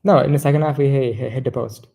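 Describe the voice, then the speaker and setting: A man's voice: medium pitch, steady tone, fast, vocal fry, mellow. A man co-hosting a casual football discussion, rambling and often correcting himself mid-sentence. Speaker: football podcast co-host.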